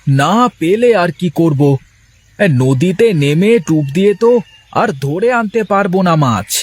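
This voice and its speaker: A man voicing a poor, excitable village fisherman in a folksy moral-story cartoon. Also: sits high, a little slow, breathy edge, heavily acted. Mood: weary, plaintive.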